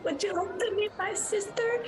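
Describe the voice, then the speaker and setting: A woman voicing a pitiful, hungry young sister who begs sweetly with drawn-out pleading in a tabletop game. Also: extremely high pitch, voice pushed up. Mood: sweetly wheedling.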